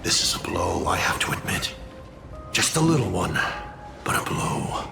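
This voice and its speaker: A theatrical, mocking video game villain, with a lowish voice that swings widely in pitch. He teases and draws out his threats, sinking into gravelly, breathy near-whispers. Prominent music plays behind him. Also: big pitch swings, full character voice. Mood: smug, needling. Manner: teasing, taunting, drawn-out dramatic delivery. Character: mocking, theatrical male villain, a fallen navigator turned destroyer. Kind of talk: dramatic video game voice acting. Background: game soundtrack music.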